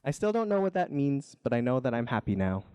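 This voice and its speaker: A man's voice: mid-range voice, very animated, quick pace, a little mellow, a little hiss or hum, lightly acted. A man voicing a naive, friendly, lonely young alien in a storybook, earnest and childlike. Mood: happy, wistful.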